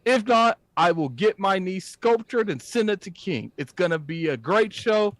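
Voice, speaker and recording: A man co-hosting a podcast, reading out live chat messages in an animated, informal way. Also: high-pitched, normal speed, no room sound. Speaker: podcast co-host.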